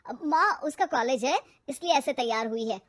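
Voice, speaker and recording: A woman's voice: sits high, expressive, moderate pace, fairly bright tone. A woman voicing a young husband who pleads and reasons as peacemaker in a family story. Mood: soothing. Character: placating young husband caught between wife and mother.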